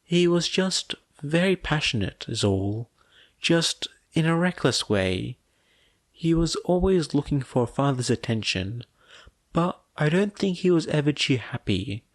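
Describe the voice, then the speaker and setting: A male narrator plays a young woman, a caring older sister in an audiobook story. The voice is raised and gentle, with slow, halting, anxious delivery. The sound is dry and close. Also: a little high, very animated, no echo, voice pushed up, exaggerated voice. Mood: wistful. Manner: hesitant, soft-spoken, halting. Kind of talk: audiobook character dialogue.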